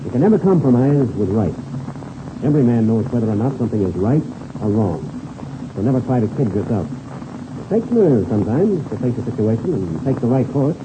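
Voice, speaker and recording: An actor playing a veteran pilot, a steady fatherly mentor, earnest and preachy in 1930s radio serial style. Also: mid-range voice, fairly quick, close to an everyday voice. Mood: earnest moralizing. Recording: noisy muffled old radio recording.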